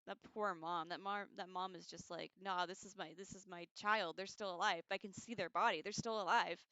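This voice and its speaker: A woman hosting a casual livestream read-along, expressive and informal, reading aloud and adding chatty, slangy asides. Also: on the low side, fairly quick, clean tone, no echo, highs cut off. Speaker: livestream host reading a book aloud with commentary.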